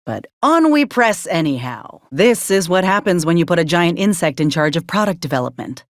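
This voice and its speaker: A woman's voice: lowish voice, very animated, normal speed, no echo. A woman playing a wry, plainspoken former combat medic in a video game, loyal to her company, with dry, sardonic, folksy phrasing.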